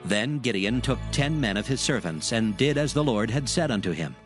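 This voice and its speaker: Male scripture narrator over soft music. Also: medium pitch, expressive. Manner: solemn, measured storytelling.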